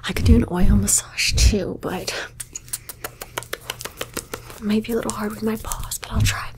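A woman voicing a playful, doting pet cat in an ASMR roleplay, whispering softly and meowing between her words. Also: medium pitch, steady tone, normal speed, close to an everyday voice. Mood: whispery, playful. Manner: soft whispering with repeated meows.

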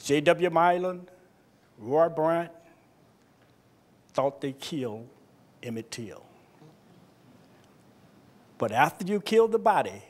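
An older man giving a reflective speech on stage into a handheld microphone, deliberately, with emphatic phrases. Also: a little high, a little mellow. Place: stage in an auditorium.

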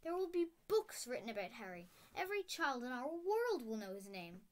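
A woman's voice: big pitch swings, normal speed. A woman doing an upset stern older lady professor. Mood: outraged. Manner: high, tremulous, emphatic outbursts.

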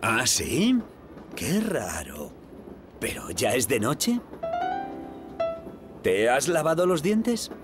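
A man's voice: mid-range voice, huge pitch swings, extremely slow, long pauses, over music, full character voice. A man playing a friendly, playful train conductor in a Castilian Spanish cartoon dub, speaking encouragingly to a small child. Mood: playful, kindly. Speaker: cartoon voice actor.

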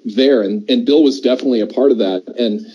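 Mellow middle-aged American man, dull audio. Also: mid-range voice, quick pace, breathy edge. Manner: discursive, explanatory. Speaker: podcast co-host. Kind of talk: casual chat.

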